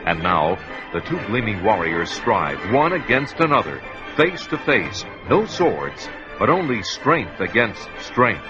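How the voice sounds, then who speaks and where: A man narrating a fantasy radio play dramatically, with an animated and expressive voice. The recording is dull and lo-fi, with clear music behind him. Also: fairly low pitch, a little slow. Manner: dramatic, emphatic.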